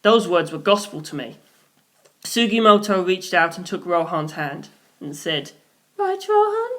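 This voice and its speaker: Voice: medium pitch, measured pace, a bit bright. A narrator reading a scripted story aloud in a dramatic storytelling style, acting out the characters. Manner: acts out characters' dialogue in different voices. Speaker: audiobook narrator, reader.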